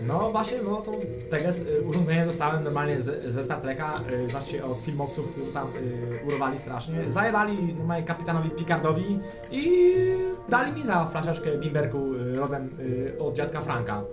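A man's voice: a little high, normal speed, hardly any pauses, music in the background, lightly acted. A man playing an anxious, eccentric, rambling radio caller in a comic radio play, hesitant and stammering, with folksy colloquial speech. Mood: excited, folksy.

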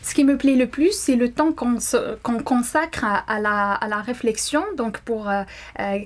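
A woman's voice: a little high, fairly even intonation, unhurried. A young woman whose first language is Arabic, a doctoral student answering interview questions to camera, hesitant, with many fillers and restarts. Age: young adult. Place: indoor room.

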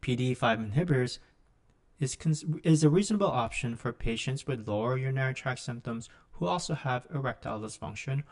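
Male, middle-aged, mid-pitched voice for scripted clinical teaching; measured, explanatory delivery. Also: lively intonation. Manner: measured, instructional. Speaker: physician lecturer. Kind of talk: scripted medical lecture narration.

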